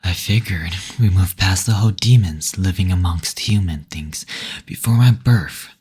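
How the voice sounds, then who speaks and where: Man playing a low, husky, teasing male demon. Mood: weary, resigned. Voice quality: soft, breathy, often dropping to a whisper. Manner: teasing, tender, unhurried. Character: teasing, gentle male demon receptionist at monster brothel. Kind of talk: intimate roleplay audio acting.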